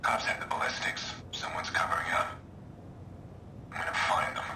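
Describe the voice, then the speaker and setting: An actor playing a brooding, guarded vigilante hacker in his late thirties, speaking in terse, hushed, breathy lines for a video game audio log. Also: moderate pace, roomy sound, distant mic, a little hiss or hum. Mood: bitter, determined. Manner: terse, clipped, grim.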